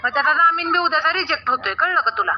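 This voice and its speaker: A woman's voice: high voice, rapid-fire, a little hiss or hum. A woman on a recorded phone call, giving insistent instructions and checking she is understood. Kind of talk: private phone conversation.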